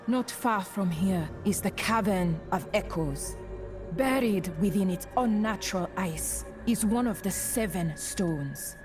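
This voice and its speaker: A woman voicing a devout, resolute warrior pilgrim in a fantasy game, with a measured, portentous and formal manner. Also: mid-range voice. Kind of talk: fantasy video game acting. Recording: loud music under the voice.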